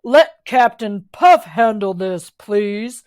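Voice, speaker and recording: A woman voicing a girl who puts on a gallant superhero voice, slow and grand. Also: medium pitch, lively intonation, darkish tone.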